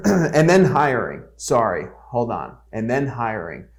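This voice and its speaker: A man's voice: mid-range voice, huge pitch swings, takes it slowly. A man in his twenties, a guest giving blunt, candid business advice in a casual podcast chat. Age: 20s. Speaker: podcast guest, agency CEO.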